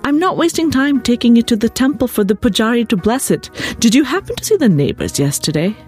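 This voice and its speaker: A woman's voice: a little high, sing-song, brisk, a little mellow, faint music behind, voice pushed up. Woman reading as a pompous, fussy storybook husband. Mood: dismissive. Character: dismissive, status-proud, fussy Indian husband.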